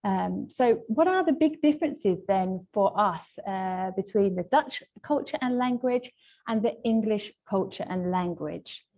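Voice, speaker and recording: Mellow-voiced woman presenting a webinar. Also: mid-range voice, animated, a little slow. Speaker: webinar presenter, language trainer. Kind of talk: webinar lesson, semi-scripted presentation.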